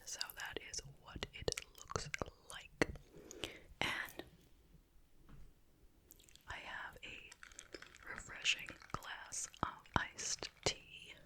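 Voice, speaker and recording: A woman hosting ASMR, chatting to viewers in a soft, unhurried, conversational whisper. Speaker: ASMR YouTuber, host. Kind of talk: whispered ASMR mukbang chit chat.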